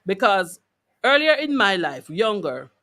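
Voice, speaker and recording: A female radio host with a mid-pitched voice. She speaks conversationally and deliberately, rewording and listing her points so they are clear to listeners. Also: big pitch swings, sharp tone. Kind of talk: conversational live radio discussion, reading listener comments.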